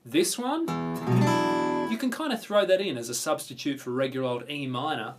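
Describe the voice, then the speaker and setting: Casting: Australian male guitar instructor, mid-pitched, casual and keen, talking around loud guitar playing. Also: lively intonation, moderate pace. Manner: informal, chatty, enthusiastic. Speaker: guitar teacher, presenter. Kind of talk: casual video guitar lesson. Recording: guitar playing prominent alongside the voice. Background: acoustic or electric guitar strumming.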